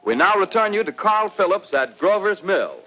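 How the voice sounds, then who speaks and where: A formal studio announcer, voiced by a man, reads bulletins in measured tones in a 1930s radio play. His voice sits high, and the old broadcast sounds dull and muffled. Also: expressive, soft background music. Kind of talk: 1930s radio drama acting.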